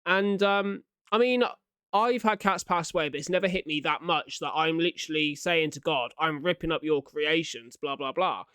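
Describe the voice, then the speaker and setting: A man's voice: fairly high pitch, moderate pace, bright, forceful tone, smooth, no room sound. A man giving casual, questioning reaction commentary as a video host, in colloquial speech.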